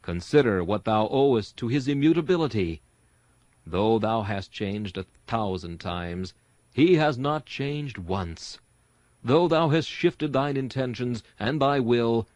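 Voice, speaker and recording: A man reading an old-fashioned sermon aloud in a solemn, earnest, rhetorical way with deliberate emphasis. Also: mid-range voice, a little mellow, dry recording. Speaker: sermon reader.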